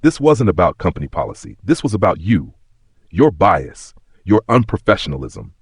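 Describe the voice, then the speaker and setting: A man voicing an angry, scolding airline supervisor in an audio story, clipped, forceful and accusing. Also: sits low, wildly swooping pitch, normal speed, breathy edge, no echo, clean studio sound, full character voice.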